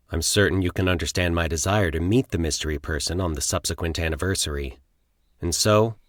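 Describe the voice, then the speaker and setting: A man reading a scripted first-person horror story in a formal, literary, measured manner. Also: low voice, dry recording, clean studio sound. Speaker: narrator of horror fiction.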